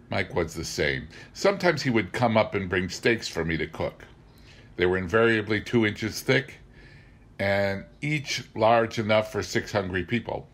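A middle-aged man narrating a memoir as a scripted reading, with measured, deliberate phrasing. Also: deep voice. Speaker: amateur audiobook reader.

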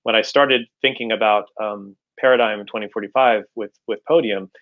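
Low-voiced middle-aged man, halting delivery. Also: fairly even intonation. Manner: halting, repeats words while explaining. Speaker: author being interviewed. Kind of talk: conversational interview answers. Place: indoors at home, room with bookshelves, on a video call. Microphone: video call. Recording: slightly dull video-call audio.

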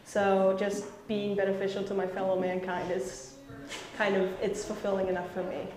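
A woman's voice: medium pitch, completely flat delivery, moderate pace. A young woman, a volunteer team leader, giving an on-camera interview. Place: small room under renovation indoors. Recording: echoey room with busy background voices.

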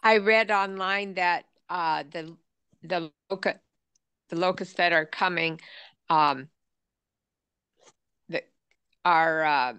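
A woman class participant speaking over a video call, halting and searching for her words. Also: fairly low pitch, fairly bright tone, clean tone, no echo.

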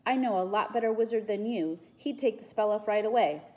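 A woman's voice: mid-range voice, fairly quick, mellow, lo-fi sound, natural voice. A woman voicing young Roman schoolboys, anxious but defiant, in a storybook reading. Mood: earnest, bold.

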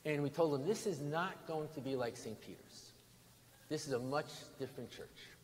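An older American man, a bishop, preaching a sermon in deliberate, emphatic phrases with pauses and repetition. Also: fairly high pitch. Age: 60s or older. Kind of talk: sermon with storytelling. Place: large stone church interior, at lectern. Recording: reverberant church hall, background noise.

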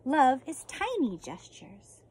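An American woman in her forties, a teacher reading a children's picture book aloud outdoors with deliberate, expressive phrasing. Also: high voice. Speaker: elementary school teacher reading a story. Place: outdoors on a residential deck.

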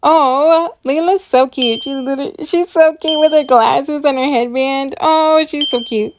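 A woman hosting casual reaction commentary in a bubbly, gushing, excited manner. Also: high voice, measured pace, slight background noise. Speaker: YouTube host reviewing channels.

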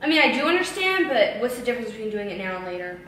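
A twenty-year-old woman chatting casually with her boyfriend, soft-spoken and fond of "like". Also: on the high side, very animated, racing, a little hiss or hum. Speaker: vlogger pulling a prank. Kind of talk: casual chat. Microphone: hidden camera across the room. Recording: very echoey room, voice far from the mic.

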